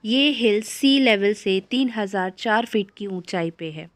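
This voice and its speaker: A woman with an Indian accent narrates an informative travel guide in a measured, scripted way. Her pitch is average for a woman and English terms slip in often. The sound is dry, with no room around it. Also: lively intonation, no room sound.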